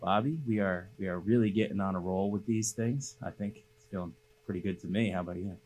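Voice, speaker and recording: A man from the Boston area hosts a casual interview podcast. His voice sits low in pitch, and a faint noisy hum runs under the studio recording. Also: steady tone, a little fast, a little mellow. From Boston area, United States. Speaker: podcast host.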